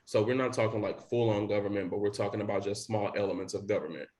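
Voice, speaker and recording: A man's voice: lowish voice, steady tone, fast talker, darkish tone. A young man hosting a podcast in casual chat, rambling with lots of fillers. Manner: rambling with many likes and you knows. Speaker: podcast host.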